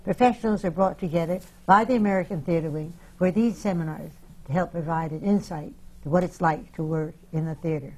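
A woman's voice: lowish voice, fairly quick, a little mellow, rough recording. Woman giving a formal program introduction. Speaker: host, chairman of the board. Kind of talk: scripted introduction.

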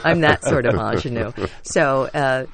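A stage actress with a low voice, telling chatty, self-deprecating stories as a radio interview guest. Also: female voice, unhurried, crowd noise.

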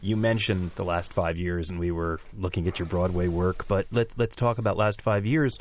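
Low-voiced male interviewer. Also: fairly even intonation, normal speed, a little hiss or hum.

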